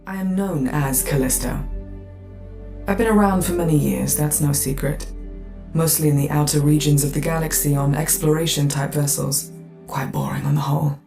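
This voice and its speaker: A woman's voice: deep voice, expressive, normal speed, gravelly, roomy sound, over music. A woman voicing a secretive, world-weary female starship AI who speaks in a dry, wry and guarded way. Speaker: ship AI character in a voice pack.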